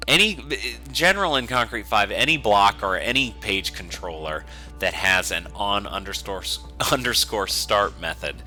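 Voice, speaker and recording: A low-pitched man with a very bright, forceful edge to his voice explains programming like an instructor recording a screencast. He talks informally and goes step by step, with faint music in the recording. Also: animated, moderate pace. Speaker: software instructor, developer. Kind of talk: screencast coding tutorial, semi-improvised walkthrough.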